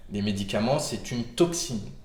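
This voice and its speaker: A man's voice: lowish voice, animated, normal speed, roomy sound. A man with a cold giving casual health advice to camera, rambling with many fillers and restarts. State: has a cold.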